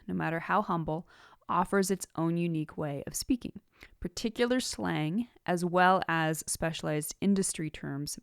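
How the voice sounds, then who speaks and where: Female podcast host, slightly low register, scripted teaching narration, dry close-miked sound. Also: dry recording. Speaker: writing podcast host and author.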